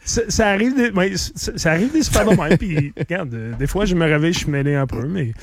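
A man's voice: a little high, expressive, brisk. A middle-aged man whose first language is English, with a slight English accent, chatting casually on the radio as a beer columnist and explaining things in detail. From English-speaking.

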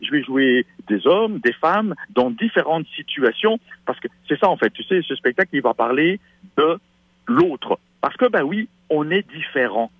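Comédien à la voix voilée, bavard et enthousiaste, son radio terne, sec. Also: male voice, on the high side, very animated, normal speed, slightly rough, no echo. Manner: chatty, enthusiastic promoter. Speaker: stage actor, guest. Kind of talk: radio interview. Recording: dull lo-fi radio sound.

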